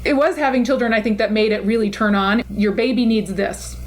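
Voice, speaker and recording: Thoughtful middle-aged woman interviewer, high voice. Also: fairly quick, slight background noise. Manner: thoughtful, laughs often. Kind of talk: reflective conversational interview.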